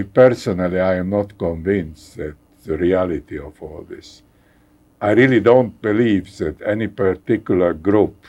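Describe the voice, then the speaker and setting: An older man from Hungary with a Hungarian accent, speaking haltingly as a guest in a conversational interview, repeating words and chuckling wryly. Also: sits low, creaky, a little mellow. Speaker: guest scientist.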